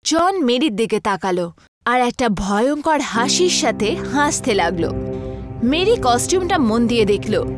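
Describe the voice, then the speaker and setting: High-pitched woman narrating horror. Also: big pitch swings, measured pace, music in the background. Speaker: story narrator. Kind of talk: dramatic scripted horror narration.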